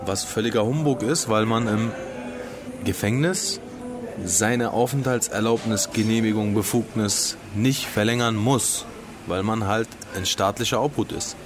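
A man's voice: lowish voice, unhurried, over music. A man in his twenties with a Berlin accent, an interviewee speaking in a casual, blunt and dismissive way. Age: 20s.

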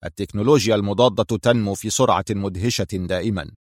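A man's voice: fairly low pitch, fast, no room sound, close to an everyday voice. Man as stern, mysterious criminal mastermind, dry sound. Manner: curt, firm, cold authority. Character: stern, mysterious male boss of criminal organisation.

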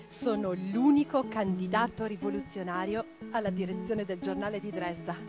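A woman's voice: mid-range voice, normal speed, natural voice. An actress playing an impassioned revolutionary woman in a radio play, declaiming with theatrical intensity. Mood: subdued, wounded. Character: impassioned revolutionary woman orator and letter-writer. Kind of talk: theatrical dramatic monologue. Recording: guitar music behind the voice. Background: plucked guitar music.

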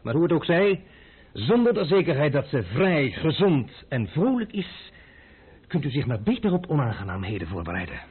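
Defiant Dutch adventurer, raspy and animated. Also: male voice, a little high, full character voice. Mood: defiant threat. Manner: wry, unhurried, insolent. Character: stubborn, defiant, dryly mocking Dutch captive adventurer. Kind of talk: Dutch radio play acting. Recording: muffled phone-like old radio recording.

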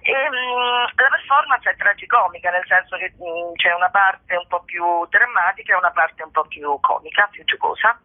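A woman's voice: fairly low pitch, normal speed, hardly any pauses. Woman on a tinny phone line, playful. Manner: animated, informal, playful, thinking aloud. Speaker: performer and playwright, interview guest. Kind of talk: casual spoken interview over the phone. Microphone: telephone call. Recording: tinny narrow-band telephone line.

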